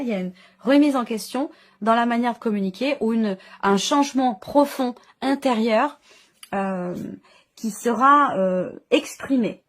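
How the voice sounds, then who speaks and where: A woman with a fairly high voice reads tarot cards aloud for her viewers. She improvises as she goes, searching for words and rephrasing each idea in several ways. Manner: hesitant, searching phrasing with repeated enumerations. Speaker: tarot card reader and channel host. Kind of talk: intuitive tarot reading, improvised spoken commentary.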